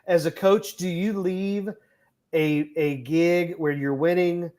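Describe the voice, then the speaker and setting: A man's voice: a little high, steady tone, slow pace, darkish tone. A man co-hosting a sports podcast, posing questions and arguing through what-ifs. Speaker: podcast co-host, coach. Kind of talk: casual sports talk.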